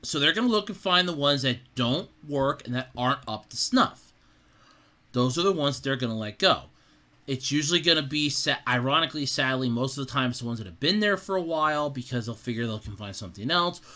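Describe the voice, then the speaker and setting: A male podcast host with a bright, hard-edged voice at an average pitch. He comments on news casually and bluntly, talking as if to a listener and voicing imagined exchanges as he goes. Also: animated, fairly quick.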